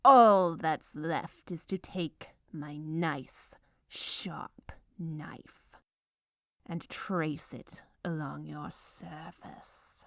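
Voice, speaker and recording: Female ASMR performer, gleefully evil baker character, drawn-out theatrical delivery, close dry sound. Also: low-pitched, gravelly, fairly bright tone, no echo. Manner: theatrical, menacing, gleeful villainous delivery of mundane baking steps. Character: gleefully menacing baker, melodramatic and secretly evil woman. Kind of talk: scripted ASMR comedic narration.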